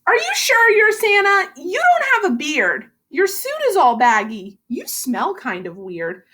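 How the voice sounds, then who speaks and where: A skeptical six-year-old boy from a storybook, played by a woman. The voice is high and very lively, blunt and full of pointed questions. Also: a little slow, full character voice. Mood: doubtful.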